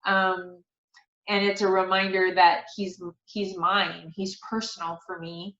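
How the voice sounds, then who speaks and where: A woman's voice: on the low side, flat delivery, measured pace, fairly bright tone. Middle-aged female guest, sincere and faith-minded storyteller in a casual interview; dull, echoey recording. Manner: earnest, devout, personal. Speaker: interview guest, author and speaker. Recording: dull, roomy sound.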